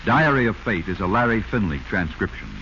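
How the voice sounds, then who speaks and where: Low-voiced 1940s radio announcer, a man. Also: animated, a little fast, pushed and bright, highs cut off. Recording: noisy, band-limited 1940s radio recording.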